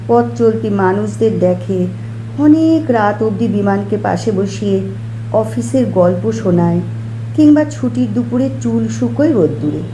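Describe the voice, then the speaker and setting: A woman's voice: fairly high pitch, warm tone. A woman reading a scripted short story expressively, as an audiobook storyteller. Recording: roomy echo, faint background noise.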